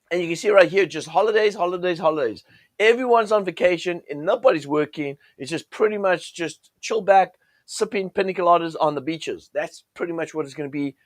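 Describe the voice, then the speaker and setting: A man with a cold, his voice a little croaky, hosting a chatty forex chart session with lots of fillers and restarts. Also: high voice, a little fast, no room sound. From United States. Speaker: forex trading show host. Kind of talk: casual chart commentary.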